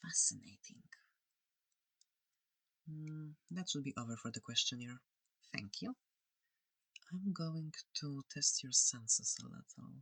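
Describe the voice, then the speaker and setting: Slow, breathy ASMR alien examiner with creaky voice. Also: medium pitch, sharp tone, dry recording. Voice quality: breathy, often dropping to a whisper. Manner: slow, halting, clinical yet reassuring. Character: curious, gentle alien examiner studying a human. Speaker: ASMR performer. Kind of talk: soft-spoken ASMR roleplay. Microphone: close, dry microphone.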